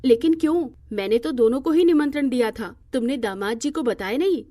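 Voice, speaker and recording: A doting old grandmother from a cartoon moral tale, put on by a woman narrator. Her voice is mellow and fairly high, full of family concern. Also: lively intonation, fairly quick, no echo. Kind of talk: acted cartoon moral-story dialogue.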